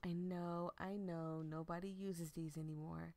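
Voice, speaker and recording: Visual novel boyfriend played by a woman: young man, teasing and cocky, easygoing delivery, slow pace. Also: fairly low pitch, level tone, a little mellow, no room sound. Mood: warm, teasing. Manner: casual, playful banter.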